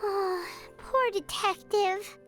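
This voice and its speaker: A tiny, cute companion creature from a game. The voice is extremely high and childlike, with tinkly music behind it. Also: female voice, drawn-out words, a bit bright, exaggerated voice. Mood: pitying. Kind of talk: scripted game voice acting. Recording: music under the voice.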